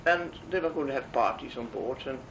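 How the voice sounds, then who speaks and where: An older woman from the Netherlands, speaking English with a Dutch accent, recalls her past in an oral history interview, pausing often with fillers. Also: a bass voice, roomy sound. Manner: halting, many pauses and fillers. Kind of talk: reminiscing conversation. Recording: distant mic, faint noise.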